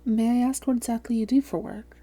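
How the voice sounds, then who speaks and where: Woman playing a mellow, soothing vampire therapist. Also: fairly high pitch, steady tone. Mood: gentle, professional. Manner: measured, gentle, reassuring, breathy hushed phrases. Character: calm, soothing female vampire posing as a therapist. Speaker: voice actress in roleplay audio. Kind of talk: soft-spoken roleplay audio, therapist addressing listener.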